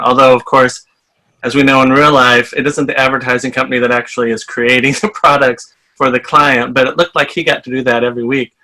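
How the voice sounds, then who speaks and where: A man's voice: mid-range voice, pushed and bright, low-quality recording. A man from Iowa, chatty and enthusiastic as he introduces himself as a fan guest. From Iowa, USA. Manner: chatty, enthusiastic, laughs while talking. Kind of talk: casual self-introduction.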